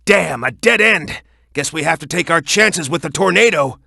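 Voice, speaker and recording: A young, hot-headed echidna fighter from a video game. The voice sits high for a male and swings widely, with a bright, forceful edge. He talks in an urgent, punchy, gung-ho way, recorded very dry. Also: animated, moderate pace, gravelly, no echo, heavily acted. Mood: wary, grim. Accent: American. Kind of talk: animated video game voice acting.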